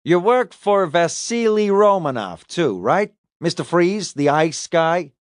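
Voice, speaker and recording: Guy reading as sarcastic cop grilling a suspect, audiobook, pitch jumps around, really dry close sound. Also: very animated, takes it slowly, no room sound, heavily acted. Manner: probing, taunting. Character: sarcastic, needling male police detective interrogating a suspect.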